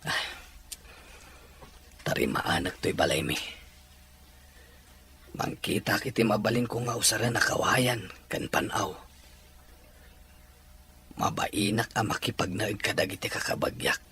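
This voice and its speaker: A man playing a despairing, remorseful father just out of prison in an audio drama, sighing and pleading haltingly. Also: lowish voice, lively intonation, pushed and bright, slight background noise, exaggerated voice. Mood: weary, ashamed. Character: remorseful, despairing ex-convict father returning home. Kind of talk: emotional Ilocano audio drama acting.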